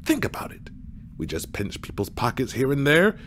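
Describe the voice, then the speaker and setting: A man's voice: medium pitch, huge pitch swings, moderate pace, a bit bright. Scheming hotelier, played by a man, extremely swooping intonation, wheedling, faint ambient noise. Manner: wheedling salesman's patter. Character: scheming, showy male hotel boss chasing money. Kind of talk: comedic audio drama acting.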